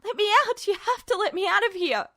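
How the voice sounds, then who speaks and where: Woman acting a frantic, high-pitched defiant boy. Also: expressive, brisk, exaggerated voice. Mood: desperate. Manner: frantic stammering, shouting, repeating himself. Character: terrified, defiant boy, hot-tempered and pleading. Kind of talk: dramatized fan fiction reading.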